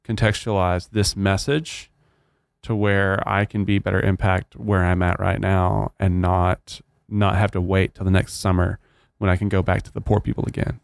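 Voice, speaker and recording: A man's voice: deep voice, little pitch movement, moderate pace, creaky, no room sound, clean studio sound. A man with a Texan drawl, hosting a church podcast in casual, earnest chat with many fillers.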